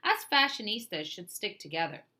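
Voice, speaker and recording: A bubbly new schoolgirl from a picture book, gushing with bright, eager chatter, as a woman reading aloud plays her. Her voice sits high and sparkling. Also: very animated, normal speed.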